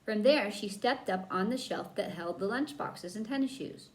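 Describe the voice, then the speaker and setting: A woman reading a children's book aloud as a storyteller, with dramatic emphasis and drawn-out key words. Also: mid-range voice, lively intonation. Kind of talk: expressive read-aloud narration for children.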